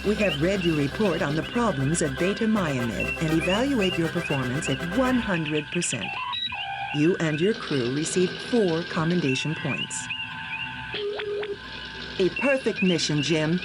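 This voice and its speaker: An actor playing an approving space fleet commander in a video game, delivering a formal, measured official message. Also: male voice, a little high, expressive, over loud music, poor audio. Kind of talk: voiced game dialogue.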